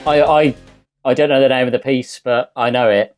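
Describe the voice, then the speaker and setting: A man's voice: mid-range voice, steady tone, normal speed, over music. Hesitant man playing along in a quiz. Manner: hesitant, stumbling, laughs easily. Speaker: quiz contestant. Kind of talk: casual podcast chat.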